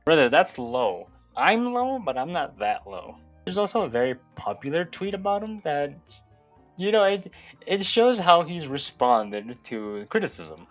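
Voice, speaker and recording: A man's voice: on the high side, big pitch swings, measured pace. A man giving casual, opinionated video commentary in an informal, sweary way. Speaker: YouTube commentator. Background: background music.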